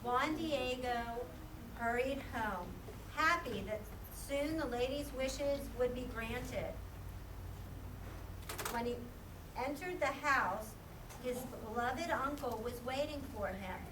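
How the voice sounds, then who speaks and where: Female storyteller for a kids' puppet show: high voice, scripted narration, noisy distant recording. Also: slow. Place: live performance in a room, far mic. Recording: distant, echoey room with clear noise.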